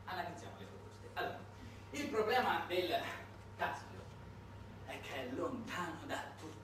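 A man's voice: on the high side, lively intonation, normal speed. Theatrical man, very echoey and distant. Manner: swings between aside and declamation, rhetorical questions. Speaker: solo theatre monologist. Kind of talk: theatrical satirical monologue, explanatory and conversational. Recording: distant, very echoey, noisy live stage recording.

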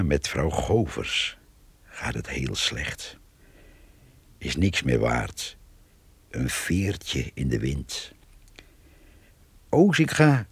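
An actor playing a gossipy, wry village storyteller, narrating a radio play in short conversational sentences with a husky, gravelly voice. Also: male voice, rumbling low, no echo, full character voice. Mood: wistful.

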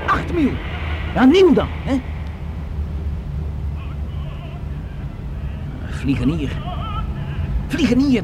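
An actor playing a cocky, menacing young biker in a radio play, using street slang and talking boastfully and needlingly. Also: male voice, high-pitched, heavily acted. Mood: excited, needling. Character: cocky, menacing young motorbike tough with a knife. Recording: radio play with clear vehicle noise.

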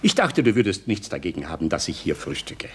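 An actor playing a genteel, teasing elderly father in a radio play, with a husky voice and a courtly, ironic manner. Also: deep voice, big pitch swings, brisk, slight background noise. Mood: courtly, amused. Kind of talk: German-language radio play acting.

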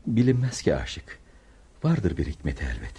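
A man's voice: low-pitched, very animated, moderate pace, mellow, slightly rough, heavily acted. A man playing a humble, devout dervish disciple in Turkish radio theatre, respectful and eager to serve. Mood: friendly. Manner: respectful, deferential.

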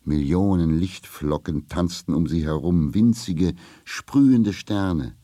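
A man's voice: low-pitched, slow pace, dark tone, no echo, clean studio sound. A man narrating a scripted fairy tale for children.

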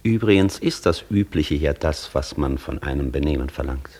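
Pompous, animated scholar Faust. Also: male voice, rumbling low, moderate pace, music in the background. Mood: pompous, amused. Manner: pompous, lecturing, condescending. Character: imperious, vain old scholar Faust, easily irritated, dictating. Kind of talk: German radio play acting.

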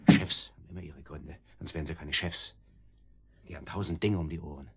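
An actor in a German radio drama playing a short-tempered, cursing gangster henchman with a gruff, agitated manner. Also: extremely low pitch, brisk, heavily acted. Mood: irritated, gruff.

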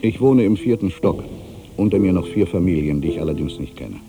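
Very deep, gravelly ageing writer. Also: male voice, lively intonation, fairly quick, music in the background. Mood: musing, tender. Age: older. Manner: theatrical, brooding, shifting from exclamation to hushed asides. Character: ageing writer, musing, irritable, suspicious, quietly superstitious. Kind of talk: literary radio play interior monologue.

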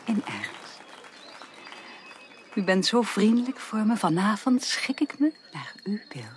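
Melancholy young gentlewoman, medium pitch, subdued, resigned, formal diction, radio drama. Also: big pitch swings, takes it slowly, a faint crowd, slight background noise. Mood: subdued, wistful. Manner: formal, resigned, subdued, dropping to breathy near-whispers. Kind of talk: 1980s Dutch radio drama acting.

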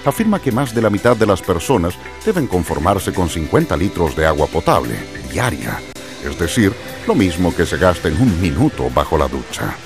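A male narrator reads scripted explanatory material. His voice is deep and animated in pitch, with music clearly behind it. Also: normal speed.

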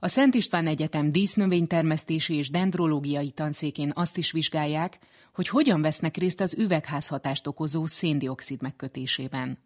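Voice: medium pitch, darkish tone, no room sound, clean studio sound. A radio host reporting on science and interviewing experts.

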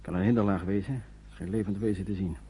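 An actor playing an impatient, wary, stubborn soldier who grumbles in clipped colloquial phrases in a radio play. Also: male voice, deep voice, fairly even intonation, fast, a crowd in the background, natural voice. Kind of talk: naturalistic radio drama acting.

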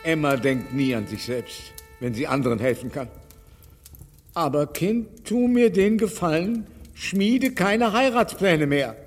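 An elderly gentleman father in a radio drama, fussy and doting. His voice is somewhat high for a man, with a plaintive manner. It rings with long reverb. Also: lively intonation, a little slow, faint music behind. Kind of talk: radio play acting.

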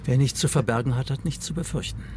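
A man's voice: mid-range voice, quick pace, close to an everyday voice. An actor playing a cold older state prosecutor in a radio crime drama, curt and condescending toward his estranged son. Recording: clear background noise, car ambience.

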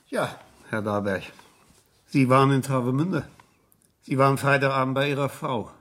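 An actor playing an unhurried, probing police inspector in a 1970s German radio crime drama, insistent and colloquial. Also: male voice, medium pitch, fairly even intonation, fairly bright tone, natural voice. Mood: firm, confronting. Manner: insistent, colloquial, ends questions with tags.

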